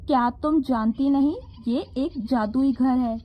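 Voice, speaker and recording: A woman's voice: high-pitched, a little slow, highs cut off. A woman voicing a stern, punishing devil in a fairy-tale cartoon, commanding and scolding. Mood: stern, accusing. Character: stern punishing devil guarding a magical house.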